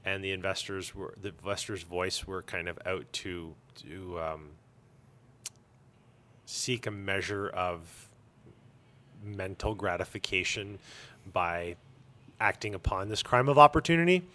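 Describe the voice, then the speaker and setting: Male, low-pitched. Small-business owner in a relaxed interview, deliberate phrasing with thoughtful pauses. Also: slight background noise. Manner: measured, careful wording with pauses. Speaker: comic shop owner being interviewed. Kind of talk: casual conversational interview.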